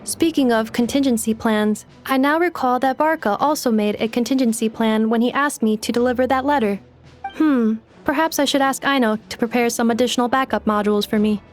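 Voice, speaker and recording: A woman's voice: fairly high pitch, fairly quick, soft background music, lightly acted. A woman voicing a polite, literal-minded robot assistant in a video game, formal and matter-of-fact.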